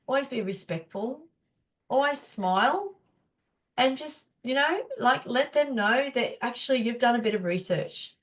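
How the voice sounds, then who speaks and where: A woman, a naturopath hosting a casual live teaching session, chatty and encouraging, her nose stuffy and blocked up. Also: a little high. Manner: chatty, encouraging, many fillers.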